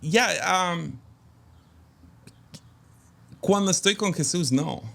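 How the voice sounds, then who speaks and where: A man's voice: high-pitched, extremely slow, creaky, fairly bright tone. A man speaking as a guest in an interview, reflective and candid, with many hesitant fillers. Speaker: guest, pastor's son and preacher. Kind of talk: conversational interview.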